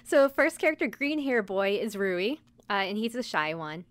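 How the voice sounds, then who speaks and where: A woman's voice: medium pitch, sing-song, normal speed. A woman co-hosting a podcast in casual chat, explaining things in a giggly way. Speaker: podcast co-host. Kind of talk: casual chat about idol anime.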